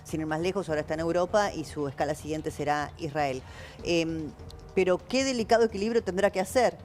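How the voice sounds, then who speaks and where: A woman's voice: on the low side, fairly quick, a little mellow. A woman with an Argentine Spanish accent hosts a political interview on television in a conversational way. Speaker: TV programme host.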